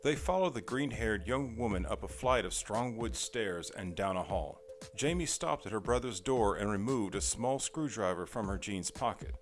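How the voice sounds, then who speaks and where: Male narrator for an audio drama: low register, animated delivery, light music behind. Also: moderate pace.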